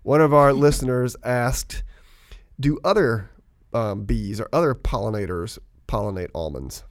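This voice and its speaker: A man's voice: mid-range voice, measured pace, dry recording. Chatty male podcast host, dry close sound. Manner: friendly, chatty, joking. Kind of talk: casual podcast chat.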